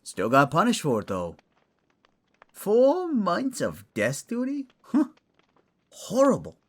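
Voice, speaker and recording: Guy doing a grumbly furry creature steward for fantasy audio rp, super animated pitch, kinda scholarly and roguish. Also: takes it slowly, exaggerated voice. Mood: sulky. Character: furred, clawed male creature, a roguish scholarly artifact-tinkering steward. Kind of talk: fantasy audio drama acting.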